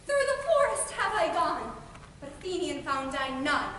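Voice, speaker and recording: A mischievous, quick sprite, played by a woman speaks stage verse in a high, bright voice. The sound is recorded from far off in an echoey hall, with long reverb and faint background noise. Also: big pitch swings, full character voice. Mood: impish. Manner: theatrical verse delivery, hushed then bursting out. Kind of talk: stage play performance.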